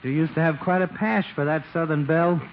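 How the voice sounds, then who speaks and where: An actor playing a folksy, teasing small-town barber in 1940s radio comedy, talking in slangy, needling style. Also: male voice, on the high side, brisk, natural voice. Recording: muffled, faintly noisy 1940s radio recording.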